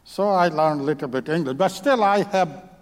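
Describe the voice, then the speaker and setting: Man, Bangladeshi accent, native Bengali speaker, church sermon, short halting phrases, fairly high voice. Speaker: visiting preacher. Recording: long reverb of a church hall.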